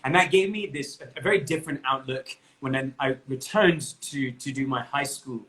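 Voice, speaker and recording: A man's voice: mid-range voice, moderate pace, a bit bright, rough recording. A young man with an English accent, chatting as an interview guest over a video call, laughing often and restarting his sentences. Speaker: interview guest, bagpipe player. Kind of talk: casual chat.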